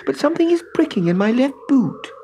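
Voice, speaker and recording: A man's voice: very high-pitched, sing-song, a little fast, noisy background, voice pushed up. A man voicing a timid, polite little girl in a storybook reading.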